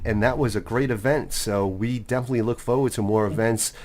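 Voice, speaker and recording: A man hosting a metal radio show, affable with quick affirmations. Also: lowish voice, steady tone, a little mellow. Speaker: radio host. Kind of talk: casual radio interview.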